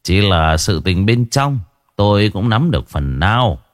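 Man doing a slow gentle old village man. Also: fairly low pitch, sing-song, dry recording, studio quality. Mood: gentle consoling. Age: older.

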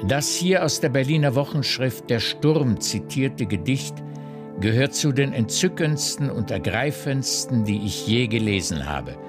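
A man playing a solemn literary critic, declaiming a printed tribute with deliberate emphasis in a radio drama. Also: medium pitch, big pitch swings. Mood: solemn, admiring. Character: solemn male critic quoting his printed praise. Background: music.

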